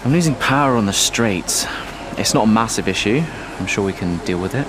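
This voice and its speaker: A man's voice: on the low side, lively intonation, fairly quick, noisy background, close to an everyday voice. Man as a modest rookie driver. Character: young male rookie racing driver, modest. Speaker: racing driver.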